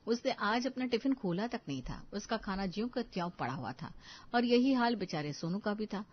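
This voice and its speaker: A woman narrating a scripted moral story for an animated video. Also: mid-range voice, moderate pace, husky, muffled recording.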